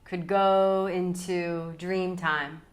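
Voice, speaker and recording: Woman doing a guided meditation, kinda low voice, super slow n calm, long pauses between phrases. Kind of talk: guided yoga nidra meditation.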